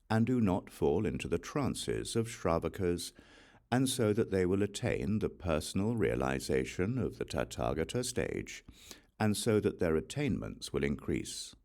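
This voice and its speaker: A man reading the part of a calm, wise male spiritual teacher who explains a teaching in measured phrases. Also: deep voice, exaggerated voice.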